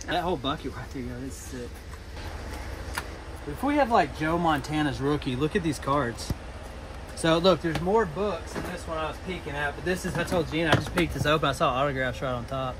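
A man in his thirties filming himself in a metal storage unit, giving excited running commentary as he digs through boxes of collectibles. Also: on the high side, brisk. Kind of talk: casual vlog narration. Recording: noisy storage unit ambience.

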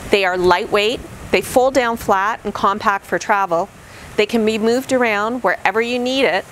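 A middle-aged woman reading a scripted list of camping tips to camera, in an upbeat, clearly enunciated presenter manner, standing outdoors by a camper trailer. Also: medium pitch, normal speed, a bit bright, a little hiss or hum, rough recording. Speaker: vlogger.

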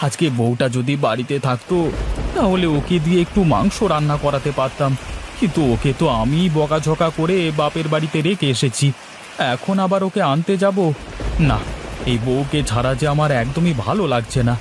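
Man voicing a high-pitched, food-loving cartoon village husband. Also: noisy background, lightly acted. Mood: fretful longing. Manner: exclamatory, stammering, drawn-out. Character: fretful, food-loving village husband, easily won over. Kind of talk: cartoon dialogue acting. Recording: music bed behind voice.

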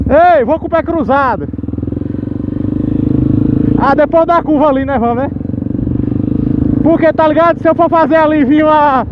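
A man's voice: very high voice, moderate pace, long pauses. A young man chatting casually and slangily while riding a motorbike, raising his voice. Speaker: motovlogger. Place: outdoors on a moving motorbike on the road. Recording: muffled, loud motorcycle engine and wind noise.

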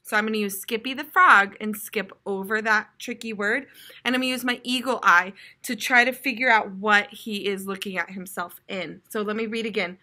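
An American woman in her twenties, a teacher reading aloud to young children in an expressive, explanatory way. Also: medium pitch, normal speed. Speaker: primary school teacher. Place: classroom, indoors.

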